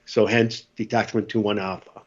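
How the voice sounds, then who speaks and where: A middle-aged former Marine, a native Spanish speaker, tells a long story on a podcast and acts out the dialogue in it. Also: male voice, on the low side, little pitch movement, normal speed, dull audio. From United States.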